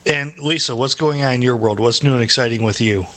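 A man's voice: mid-range voice, fairly quick, a bit bright. A man hosting a podcast, asking his co-hosts questions and teasing them in casual chat. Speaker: podcast host.

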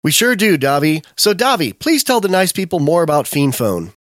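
A male radio host reading a scripted promo in a deadpan announcer manner, spelling out web addresses. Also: on the high side, normal speed, no room sound, clean studio sound. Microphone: remote call recording.

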